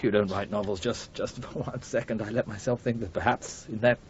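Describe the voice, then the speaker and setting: An actor playing a harassed, evasive publishing editor in a radio drama, stammering and exasperated. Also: male voice, lowish voice, steady tone, fairly quick, natural voice. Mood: exasperated, pleading. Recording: muffled, noisy 1980s radio recording.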